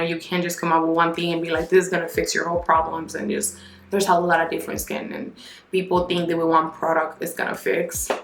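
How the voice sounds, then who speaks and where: Chatty young woman in an echoey bathroom. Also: fairly low pitch. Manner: chatty, candid, animated asides. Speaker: beauty vlogger. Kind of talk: casual product review. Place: home bathroom, indoors.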